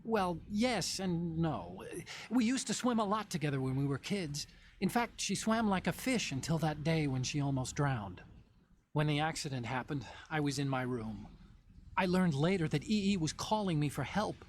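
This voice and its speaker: A man's voice: a little high, animated, normal speed, slight background noise. An actor playing an earnest, brainy male computer engineer who explains things carefully in a video game drama. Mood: regretful, confiding. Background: game music.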